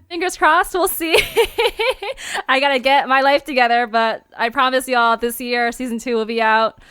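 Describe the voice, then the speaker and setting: A woman's voice: high voice, measured pace, fairly bright tone, smooth, no echo, studio quality. A woman hosting a fiction podcast, chatting casually in a playful, informal way. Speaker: host and creator of a fiction podcast. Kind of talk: casual chat.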